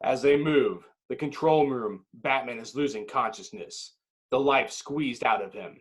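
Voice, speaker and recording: A man's voice: mid-range voice, a little slow. A man narrating a screenplay's action with intense, clipped dramatic phrasing. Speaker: narrator reading stage directions.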